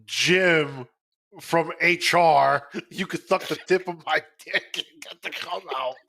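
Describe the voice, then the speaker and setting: A man's voice: fairly high pitch, takes it slowly, creaky, pushed and bright. A man co-hosting a livestream with casual, blunt, profane interjections. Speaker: livestream co-host. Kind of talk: casual unscripted livestream banter.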